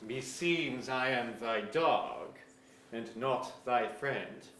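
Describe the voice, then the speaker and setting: A man's voice: mid-range voice, extremely slow, fairly bright tone, a little hiss or hum. A man playing a bookish, resentful captive scholar in an archaic verse drama, formal and wry. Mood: wry resentment. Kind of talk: staged reading of pseudo-Elizabethan verse drama. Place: museum auditorium, live reading. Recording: echoey auditorium.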